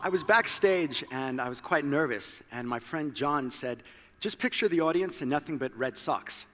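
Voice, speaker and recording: A man's voice: a little high, expressive, moderate pace, a bit bright, long reverb tail, slight background noise. A South African-born man with an American accent giving a conference presentation, mixing light self-deprecating humor into the talk. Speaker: conference speaker. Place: large hall.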